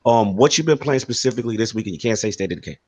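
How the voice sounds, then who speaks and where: A man's voice: medium pitch, lively intonation, very fast, a little mellow, dry recording. Man hosting, dry close mic. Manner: encouraging, affirming interjections. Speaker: show host. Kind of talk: casual, upbeat gaming chat.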